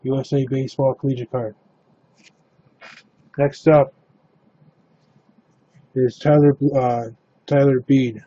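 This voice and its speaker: Flat, mellow-voiced man announcing cards. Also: mid-range voice, slow, pauses often, breathy edge, highs cut off. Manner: steady, matter-of-fact announcing with counting aloud. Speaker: card break host. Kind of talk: live card break commentary.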